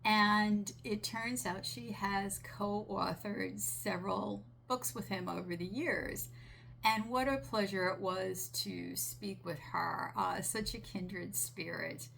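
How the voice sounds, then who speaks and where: Slow, gravelly woman channeler, raspy. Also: medium pitch, fairly even intonation, slight background noise, rough recording. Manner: slow, gentle, earnest with small restarts. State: raspy from a sinus infection. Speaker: spiritual channeler. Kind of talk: relaxed monologue relaying a channeled message.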